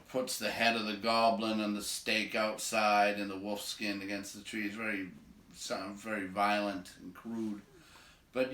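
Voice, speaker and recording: A man's voice: on the low side, a bit bright. A man reading a storybook aloud as host, with casual commentary along the way. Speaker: read-aloud host and reader. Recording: roomy, echoing home recording.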